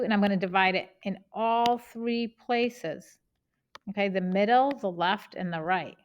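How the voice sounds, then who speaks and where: A woman with a Boston accent teaching a math lesson, explaining each step plainly and checking in with "okay?". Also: mid-range voice.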